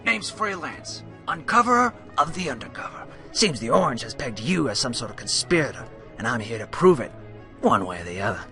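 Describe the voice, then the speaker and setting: A man acting as a slick, menacing tabloid reporter pony with a self-important, deliberate, hard-boiled delivery in a radio play. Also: medium pitch, very animated. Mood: smug menace. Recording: loud music under the voice.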